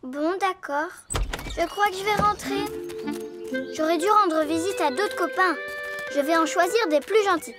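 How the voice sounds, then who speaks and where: A woman voicing an impulsive, playful little girl animal in a French children's cartoon, with childlike, expressive delivery. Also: very high-pitched, measured pace, long pauses. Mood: grumbling. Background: children's jingly cartoon music.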